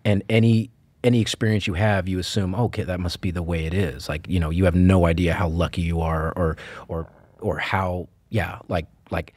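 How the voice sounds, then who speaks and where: Lively man telling stories on a podcast. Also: low voice, moderate pace, no echo. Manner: animated storyteller, acts out quotes, laughs often. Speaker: actor guest. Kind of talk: casual podcast chat.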